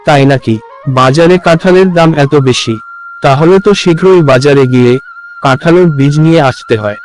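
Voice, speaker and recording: A man's voice: fairly high pitch, moderate pace, darkish tone, faint music behind, lightly acted, poor audio. A man voicing an earnest village husband and father in a cartoon. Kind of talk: cartoon voice acting.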